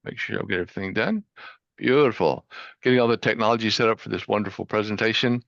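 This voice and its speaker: A man's voice: lowish voice, quick pace, creaky, a bit bright, dry recording, dull audio. A man hosting an online show, introducing his guest with upbeat enthusiasm over a video call. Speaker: host.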